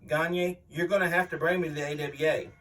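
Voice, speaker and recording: A man performs a veteran wrestling heel with a somewhat high voice, set back from the microphone. He is mean and full of bluster, bragging and griping in the style of a wrestling promo interview. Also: fairly even intonation, fairly quick, a bit bright, distant mic, a little hiss or hum, close to an everyday voice, low-quality recording. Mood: menacing, boastful. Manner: boastful, blustering, aggrieved. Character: boastful, mean veteran pro wrestler villain, male, older. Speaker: reader voicing an interviewed wrestler.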